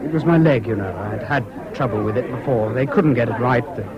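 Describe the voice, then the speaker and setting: An actor playing an awkward, evasive English ex-airman in a radio play, speaking in a diffident, halting way. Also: medium pitch, a crowd in the background, natural voice. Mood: evasive, flat. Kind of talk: 1970s British radio drama acting. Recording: dull old radio recording, busy background noise.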